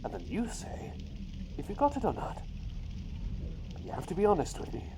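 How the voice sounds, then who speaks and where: A man voicing an eccentric, playful doctor turned talking bird, in soft-spoken ASMR roleplay with whispers and whimsical, unhurried phrasing. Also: high voice, wildly swooping pitch, voice pushed up. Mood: playful, teasing. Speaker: riddle-teller. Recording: clear background noise with outdoor ambience.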